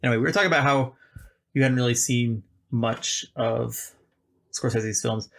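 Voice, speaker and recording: A man with a gravelly, creaky voice talks about movies in a loose, rambling way, circling back and restarting his thoughts. He sounds like a podcast host in casual conversation, at an ordinary middle pitch. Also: moderate pace, fairly bright tone. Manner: chatty, rambling, frequent "like" and restarts. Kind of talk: casual film discussion.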